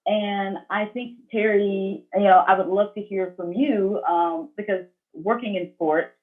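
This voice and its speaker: A woman moderating a panel discussion on a video call, speaking in a measured, affirming way. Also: medium pitch, fairly even intonation, phone-quality sound. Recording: thin, band-limited video call audio.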